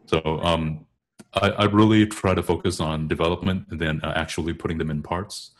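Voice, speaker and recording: Low-voiced man explaining vocal technique. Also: fairly even intonation, a little fast. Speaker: guest singer and choral bass. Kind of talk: informal technical explanation in an interview.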